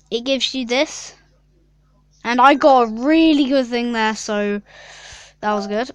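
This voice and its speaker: A woman's voice: fairly high pitch, fairly bright tone. A young female gaming YouTuber chatting casually and rambling through a mobile game, with lots of fillers and giggles.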